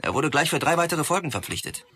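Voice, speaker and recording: An enthusiastic commentator in a documentary-style radio play. His voice is bright and forceful as he explains, with noise and clicks behind him. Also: fairly high pitch, very animated, fast. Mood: keen. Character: keen male commentator on a martial arts star. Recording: clear background noise with clicks.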